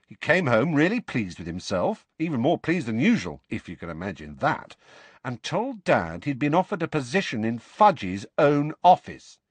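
A man's voice: mid-range voice, big pitch swings, moderate pace, breathy edge, no room sound, pitched above the performer's own voice. A man voicing a blunt, grumbling teenage boy, keen to explain, in an audiobook. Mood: confiding.

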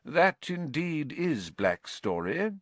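A man voicing a calm, commanding old male headmaster with a courteous, measured and firm manner in an audiobook. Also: fairly high pitch, dry recording, pitched above the performer's own voice, full character voice.

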